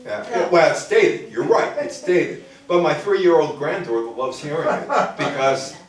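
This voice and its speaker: A man's voice: on the high side, lively intonation, measured pace. An older American man giving an informal lecture on music history to a live audience. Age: older, grandfather. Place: indoor room before a live audience. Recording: very echoey room, distant microphone.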